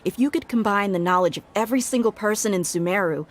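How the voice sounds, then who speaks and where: A steady, protective desert guardian woman, played by an actress with a mid-pitched, even voice. She talks in a calm and measured way. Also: a little hiss or hum, lightly acted. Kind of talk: video game voice acting.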